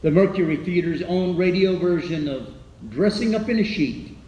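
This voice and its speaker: An actor playing a playful radio dramatist, giving a wry, grandiloquent address in 1930s style. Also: male voice, high voice, normal speed, mellow, close to an everyday voice. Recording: dull echoey old-radio sound.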